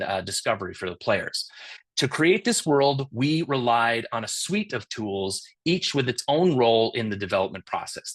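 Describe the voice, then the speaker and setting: A man's voice: mid-range voice, a bit bright. A middle-aged man giving a webinar talk as creative director, speaking casually with many fillers while losing his voice. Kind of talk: conference talk.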